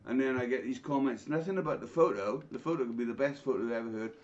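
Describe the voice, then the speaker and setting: A man's voice: medium pitch, fast. A middle-aged man telling blunt, sweary anecdotes in a casual group chat. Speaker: guest telling his own story. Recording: dull lo-fi online call audio.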